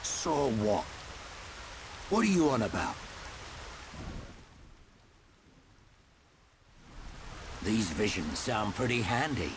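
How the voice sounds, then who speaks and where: An actor with a British accent playing a wry, roguish middle-aged travelling trader in a video game, with theatrical delivery. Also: male voice, lowish voice, unhurried, gravelly, exaggerated voice. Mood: curious, skeptical. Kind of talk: video game cutscene voice acting. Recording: steady rain-like noise behind dialogue.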